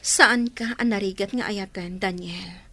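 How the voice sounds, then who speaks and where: A woman acting an earnest young bride-to-be with an Ilocano accent, speaking deliberately and questioningly in a radio drama. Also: mid-range voice, sharp tone, faint music behind.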